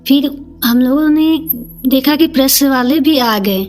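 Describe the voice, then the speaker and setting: Slow, high-voiced eastern Indian woman storyteller. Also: darkish tone. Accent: eastern Indian regional Hindi. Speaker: community leader, podcast guest. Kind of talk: personal storytelling.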